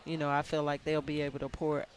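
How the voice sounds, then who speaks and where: A woman basketball coach in a live radio interview, soft-spoken and earnest, often restarting her sentences. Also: low voice, little pitch movement, quick pace, a little hiss or hum, rough recording.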